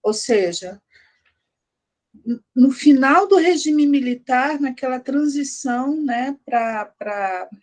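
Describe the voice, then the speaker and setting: Higher-voiced middle-aged woman from Brazil. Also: expressive, darkish tone. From Brazil, born in Rio, grew up in Belém, Pará. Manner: halting, repeats words, frequent tag questions. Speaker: guest academic, history and anthropology researcher. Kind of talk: conversational personal reminiscence.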